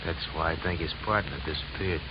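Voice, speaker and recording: An American actor playing an edgy, resentful, shady informant and safecracker in a 1950s radio crime drama, insistent and tough-talking. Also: male voice, rumbling low, a little fast. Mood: bitter, scheming. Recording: muffled, very noisy vintage radio recording.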